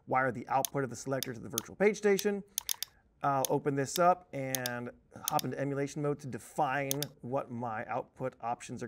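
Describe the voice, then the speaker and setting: A man in his thirties presenting a software tutorial in an animated, conversational, emphatic manner. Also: fairly high pitch, slight background noise. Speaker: software trainer. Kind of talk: tutorial presentation with on-screen demonstration. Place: indoor presentation studio at a desk.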